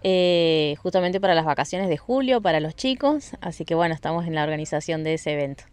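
A woman, her voice sitting on the low side, is interviewed outdoors on a riverside beach. She speaks friendly and unhurried into a handheld mic, with many fillers and restarts. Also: animated, darkish tone, smooth. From Argentina. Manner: friendly, with frequent fillers and repeated words. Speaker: event organizer. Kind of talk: street interview. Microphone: handheld mic held by interviewer.

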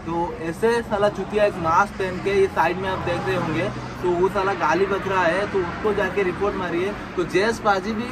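A man's voice: sits high, fairly even intonation, normal speed, few pauses, background noise. A young man in his twenties talking earnestly to a phone camera outside a house, gesturing as he speaks. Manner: earnest, heartfelt, gestures a lot. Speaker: moto vlogger. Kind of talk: casual vlog talk to camera. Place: outdoors by a house doorway.

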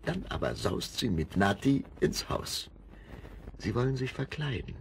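A man's voice: mid-range voice, very animated, a little slow, gravelly. A man narrating a children's radio play in a scripted storytelling manner. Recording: vinyl rip with hiss.

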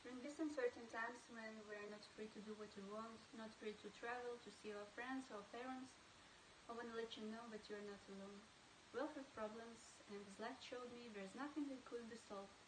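Young woman from Moldova, higher voice, echoey, hissy. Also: fairly even intonation, a little fast. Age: young adult. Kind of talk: recorded video message. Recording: echoey room with hiss.

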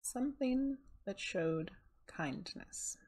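A woman leading a guided meditation in a calm, soothing, unhurried manner, with a soft, breathy voice. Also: medium pitch.

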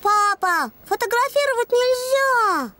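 A woman's voice: extremely high pitch, lively intonation, slow pace, pushed and bright, heavily acted. A woman voicing a cheerful, bossy little girl piglet in a children's cartoon dub, with simple, emphatic childlike phrasing. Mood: playful, bossy.

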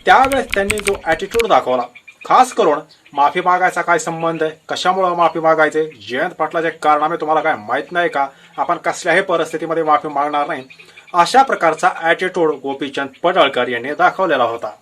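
A man's voice: a little high, fairly quick, a bit bright. A man with a Maharashtrian Marathi accent giving unscripted political commentary to viewers, explaining events in an emphatic storytelling way with rhetorical questions.

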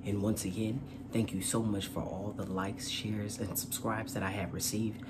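A man's voice: on the low side, level tone, moderate pace, very noisy. A man presenting and reading aloud as host of a children's storytime, with a courteous manner. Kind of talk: storytime read-aloud and channel chat.

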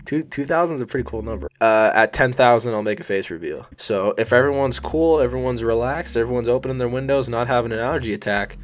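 A man's voice: medium pitch, quick pace, few pauses, slight background noise. A young man with a sniffly, allergy-stuffed voice, casually talking through a design tutorial as a voice-over, rambling, self-deprecating and swearing now and then. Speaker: YouTube design tutorial host. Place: hot bedroom.

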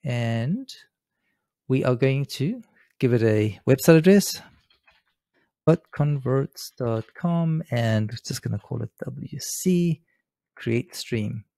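A man presenting a software tutorial in a casual, unscripted way, explaining step by step as he works at his computer. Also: medium pitch, expressive, slow, vocal fry, pauses often, dry recording. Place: indoors, seated at a computer.

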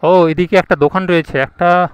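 A man's voice: sits high, fairly even intonation, moderate pace, smooth, dry recording. Chatty motovlogger man on the road. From India. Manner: chatty, thinks aloud, asks passersby for directions. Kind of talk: casual vlog narration while riding. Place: outdoors on village roads, riding a motorbike. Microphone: action camera mic.

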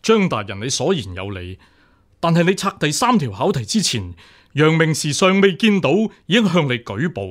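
A stubbornly upright deputy examiner in a Cantonese radio drama. His Hong Kong-accented voice sits a little high and moves with lively intonation. He is formal, earnest and refuses to yield. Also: normal speed. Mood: suspicious, firm.

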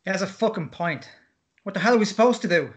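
A man's voice: high voice, lively intonation, quick pace, dull audio. An actor playing a scared, indignant kidnapped man in a drama read-through, blunt and sweary as he demands answers. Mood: agitated, confused. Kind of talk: script read-through acting.

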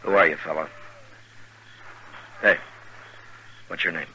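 An American actor playing a stern, steady Old West marshal in a 1950s radio western, measured and authoritative. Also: male voice, low voice, dull audio, slight background noise, full character voice. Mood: stern, calm. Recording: vintage 1950s radio broadcast.

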